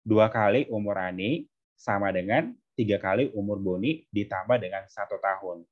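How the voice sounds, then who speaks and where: A man's voice: lowish voice, moderate pace, dull audio. A man teaching step by step as a tutor, informally, often checking that students follow. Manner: frequent checking questions to students. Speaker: tutor teaching exam maths.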